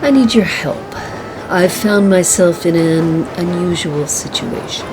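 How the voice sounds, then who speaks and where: A woman's voice: on the low side, measured pace, music in the background, lightly acted, rough recording. A woman playing a proud, guarded young socialite in a 1940s noir radio play, speaking in a composed, formal way.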